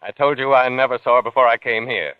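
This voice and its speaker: A man's voice: medium pitch, quick pace, smooth, no room sound, close to an everyday voice. An actor playing a haunted, paranoid man who is tense and defensive, in old-time radio suspense style. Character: haunted, paranoid man under suspicion, narrating his unraveling. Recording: muffled old radio recording with music.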